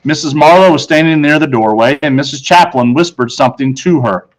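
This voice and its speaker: A man who is a teacher, narrating a children's book aloud with expressive storytelling. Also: a little high, fairly quick, poor audio.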